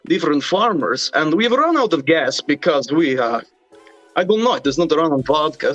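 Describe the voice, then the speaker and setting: A man's voice: a little high, dull audio. A man putting on a mock Russian accent to play a boastful male tank soldier telling a rambling story.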